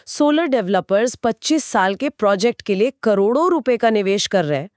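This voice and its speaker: A woman's voice: medium pitch, expressive, moderate pace, a little mellow, dry recording, clean studio sound. A woman with an Indian accent in a conversational explainer podcast, speaking in an assured, explanatory way as co-host. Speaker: co-host explaining company financials.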